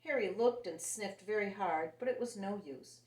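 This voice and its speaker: A woman reading a picture book aloud to young children in an animated, expressive way. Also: medium pitch, normal speed, distant mic. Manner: animated and expressive, voicing characters. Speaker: children's story reader. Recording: roomy sound, set back from the mic.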